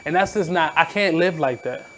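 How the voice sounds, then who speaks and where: A man in his thirties from Baltimore with a naturally high voice, hosting his radio show in a candid, blunt, reflective monologue. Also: fairly quick, a bit bright, a little hiss or hum. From Baltimore, USA. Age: 30s. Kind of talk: casual monologue.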